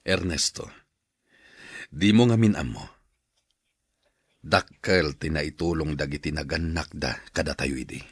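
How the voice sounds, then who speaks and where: Casting: grave elder brother, very low husky voice, firm and moral, radio drama. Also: male voice, unhurried, pauses often, dry recording, clean studio sound, heavily acted. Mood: grave, reproving. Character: stern, principled elder brother reminding of family debts. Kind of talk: radio drama acting in Ilocano.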